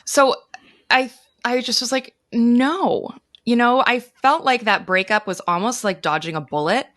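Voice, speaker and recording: A woman's voice: a little high, a little slow, fairly bright tone, no echo, studio quality. An American woman speaking as a podcast guest, telling her own story in a casual way. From United States. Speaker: podcast guest, travel guide founder.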